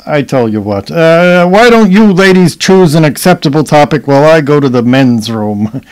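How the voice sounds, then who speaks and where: Jocular, high-pitched husband played by an actor. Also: male voice, lively intonation, moderate pace, smooth, close to an everyday voice, rough recording. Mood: teasing. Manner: jocular, boastful. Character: jovial, self-regarding middle-aged husband, flirtatious and boastful. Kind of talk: stage-style radio play acting.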